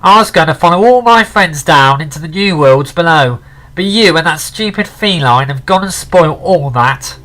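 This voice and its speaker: A man voicing a grumpy talking potato with a loud, nasal voice in a storybook reading. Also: on the high side, lively intonation, moderate pace, pitched above the performer's own voice, low-quality recording. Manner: loud, indignant and cranky.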